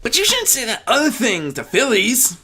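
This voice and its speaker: A man acts out a hot-tempered young filly in a storybook reading. The voice jumps high and loud, snappy and tomboyish. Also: huge pitch swings, normal speed, breathy edge, full character voice. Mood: angry.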